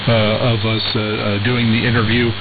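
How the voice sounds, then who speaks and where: A man hosting a casual radio mailbag segment, reading listener emails and commenting in a halting, hesitant way with many uhs and repeated words. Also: on the low side, level tone. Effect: shortwave radio transmission. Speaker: radio show host. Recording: hissy narrowband shortwave radio reception, clear noise.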